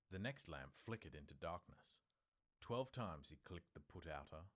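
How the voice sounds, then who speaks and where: A man reading an audiobook as its narrator, in scripted storytelling style. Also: low voice.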